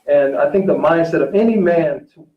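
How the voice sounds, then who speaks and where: Higher-voiced man, measured and distant from the mic. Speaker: teacher, talk show guest or co-host. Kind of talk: casual discussion. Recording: roomy, dull, distant-mic recording.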